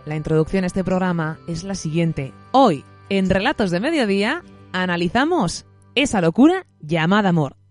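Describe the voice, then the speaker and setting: A woman presenting a literary podcast in an expressive, effusive, semi-scripted way. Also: fairly low pitch, normal speed, soft background music.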